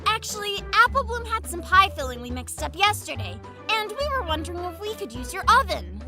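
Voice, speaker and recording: A woman's voice: very high voice, very animated, fairly quick, over music. A woman voicing a plucky, blunt young filly pony in an amateur cartoon fan fiction reading. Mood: casual.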